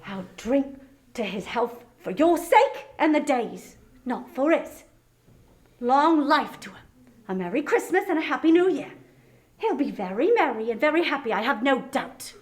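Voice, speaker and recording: Sharp-tongued housewife female actor, sarcastic and very animated, for a Victorian story reading. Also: high voice, moderate pace. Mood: grudging sarcasm. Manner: scornful, emphatic, sarcastic. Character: Mrs Cratchit, sharp-tongued, indignant Victorian wife and mother.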